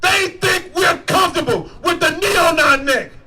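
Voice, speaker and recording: A man running for office, giving a fiery shouted protest speech at a rally with a repetitive, preacher-like cadence. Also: very high-pitched, moderate pace, a faint crowd. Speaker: political candidate at a rally.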